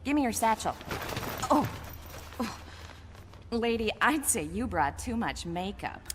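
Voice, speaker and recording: An actress playing a brash young courier pilot in an audio drama, brisk, bossy and cheeky. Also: female voice, fairly high pitch, sing-song. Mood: cocky. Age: young adult. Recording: reverberant space, faint background noise.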